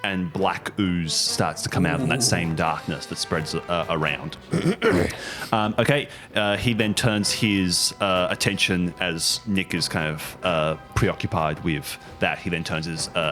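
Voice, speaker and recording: A man narrating a tabletop game as game master, halting and dramatic as he sets the scene. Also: deep voice, fairly bright tone. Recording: music behind the voice. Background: background music.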